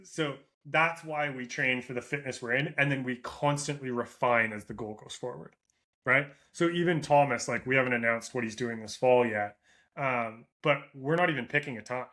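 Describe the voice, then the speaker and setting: A man's voice: medium pitch, moderate pace. A middle-aged Canadian man with a Canadian accent, a running coach talking casually with an athlete, often tagging "right?" onto his points and acting out runners' thoughts. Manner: animated, acts out runners' thoughts in quoted asides. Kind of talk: casual coaching chat over a call.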